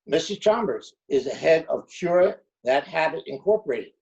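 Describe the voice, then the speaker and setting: A man's voice: fairly high pitch, animated, normal speed, vocal fry, darkish tone. A man playing a stern, offended, formal visitor in an old-time radio comedy. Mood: curt. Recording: dull, muffled remote call recording.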